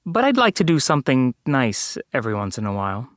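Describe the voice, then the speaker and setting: A man's voice: mid-range voice, wildly swooping pitch, quick pace, fairly bright tone, dry recording, studio quality. A man voicing a fed-up young woman, talking in a tired, pointed way.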